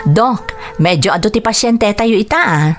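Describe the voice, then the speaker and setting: A woman's voice: mid-range voice, expressive, normal speed, soft background music, close to an everyday voice. A woman with an Ilocano accent playing a respectful, friendly hospital nurse in a radio drama. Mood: friendly, admiring.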